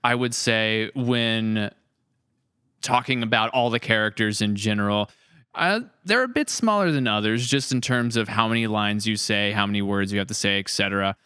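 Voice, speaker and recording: A man's voice: fairly low pitch, moderate pace, pushed and bright, smooth, no room sound, studio quality. A man hosting a casual podcast interview, talking in a conversational, explanatory way. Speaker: podcast host and series creator.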